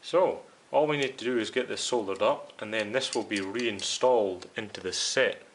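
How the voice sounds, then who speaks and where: Male repair-video host, lowish voice, casual step-by-step explainer, faint noise and hiss behind him. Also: moderate pace. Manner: explanatory, addressing viewers directly. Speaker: host of a radio restoration series. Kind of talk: casual hands-on repair demonstration.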